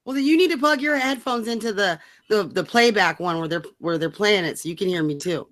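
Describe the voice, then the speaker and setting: A woman's voice: medium pitch, a little fast, a bit bright. A woman co-hosting a live stream in casual, unscripted chat, blunt and slangy in how she talks.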